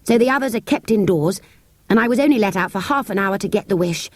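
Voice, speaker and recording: A woman voicing an eager, polite young English schoolboy in a storybook, earnest and excitable, with a British accent. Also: medium pitch, fast, no room sound, lightly acted. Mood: pleading, hurried.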